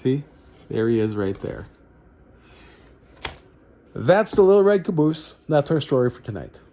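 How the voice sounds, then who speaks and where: Animated man reading a children's story. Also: mid-range voice, slight background noise. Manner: addresses young listeners, dramatic drawn-out phrasing. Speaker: children's story reader, host. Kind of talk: animated picture-book read-aloud.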